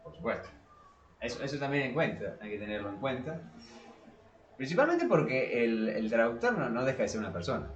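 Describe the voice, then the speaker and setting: A man's voice: medium pitch, big pitch swings, a little fast, dull audio, slight background noise. A man with a Rioplatense Spanish accent co-hosting a casual discussion podcast, speaking informally and emphatically, often repeating his phrases. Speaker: podcast co-host. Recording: echoey room, distant from microphone.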